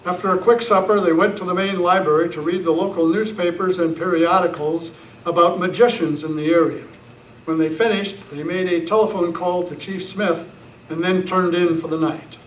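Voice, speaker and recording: A man's voice: high-pitched, fairly even intonation, normal speed, natural voice. A man reading scripted narration for an old-time radio western. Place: club meeting room, live presentation. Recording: echoey, noisy room, distant mic.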